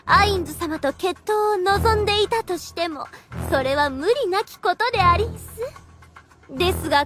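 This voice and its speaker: A woman's voice: very high voice, a bit bright. A woman voicing a sly, coquettish vampire girl in an anime audio drama, coaxing in affected archaic courtesan speech.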